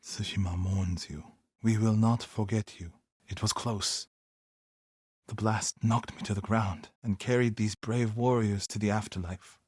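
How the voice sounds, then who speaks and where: A devout warrior monk for a video game. His voice is fairly low and husky, recorded close and dry. He speaks formally and earnestly, with solemn loyalty and the weight of grief. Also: steady tone, unhurried, darkish tone, no room sound. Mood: mournful. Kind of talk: dramatic video game dialogue.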